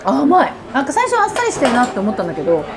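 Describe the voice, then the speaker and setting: A woman chats quickly and expressively over the clear murmur of a crowded eatery. Her voice sits a little high and swings widely in pitch, in the casual manner of a podcast host. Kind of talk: casual chat. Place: busy oyster eatery. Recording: lively crowd chatter behind. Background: restaurant crowd chatter.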